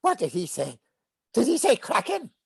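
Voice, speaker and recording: A woman acts out an imaginative little cartoon bug kid, eager and wide-eyed. The voice sits high and leaps about playfully. The delivery takes its time, in a dry, close recording. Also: wildly swooping pitch, moderate pace, vocal fry, darkish tone, husky, no echo, full character voice. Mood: earnest. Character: excitable young bug child playing pirates, earnest and imaginative. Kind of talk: animation script table read.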